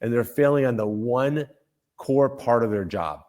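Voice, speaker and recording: A man's voice: medium pitch, a little slow, a little mellow. An older man from Colombia, a senator speaking as a guest in a political interview, emphatic, with frequent restarts and repeated phrases.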